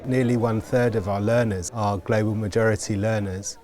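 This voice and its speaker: A man giving a documentary interview about education, measured and hesitant, often pausing on fillers and repeating words. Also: lowish voice, fairly even intonation.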